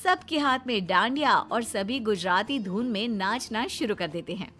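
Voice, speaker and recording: A woman reading scripted narration for a cartoon story, with music clearly behind her. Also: medium pitch, lively intonation, moderate pace, pushed and bright.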